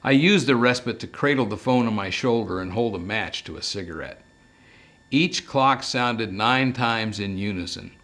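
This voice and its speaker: A man reading detective fiction aloud as an author, in a measured, deliberate storytelling manner. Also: on the low side, a bit bright. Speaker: author reading from own book. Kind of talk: scripted narration, audiobook-style reading of a detective novel.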